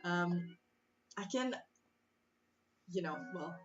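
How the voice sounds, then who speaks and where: A chatty woman with Russian as her first language thinks aloud over chess puzzles, trailing off, restarting and laughing at herself. She sits a little back from a somewhat muffled microphone. Soft music plays faintly behind her. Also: fairly low pitch, expressive, extremely slow. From former USSR. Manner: chatty, self-interrupting, laughs often. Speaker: chess streamer. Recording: slightly distant, muffled mic with faint music.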